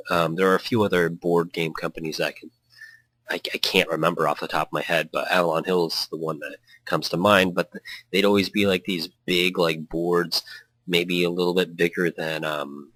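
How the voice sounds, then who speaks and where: A man's voice: sits low, fairly bright tone, no room sound, rough recording. A man reading a story aloud and chatting casually as a let's player, prone to rambling. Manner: hyped, tangent-prone. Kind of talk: narration mixed with casual rambling commentary.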